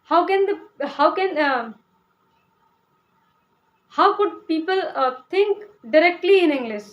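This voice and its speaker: A young woman from Uttar Pradesh speaks English with a Hindi accent in a fairly high voice. She talks to her viewers in a hesitant, explanatory way, full of fillers and restarts, often checking in with a quick "okay?". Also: animated, lo-fi sound. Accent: Indian (Hindi speaker). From India (Uttar Pradesh). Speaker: English-learning vlogger. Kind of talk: casual explanatory talk to camera.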